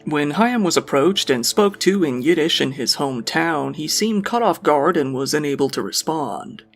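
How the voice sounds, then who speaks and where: A man giving a scripted true crime narration in an even, measured reading. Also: on the high side, faint music behind.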